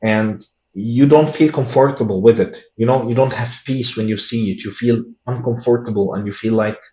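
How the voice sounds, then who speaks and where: A man with giving a personal testimony in an interview, earnest and halting with many restarts. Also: medium pitch, warm tone. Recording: echoey room, dull lo-fi sound.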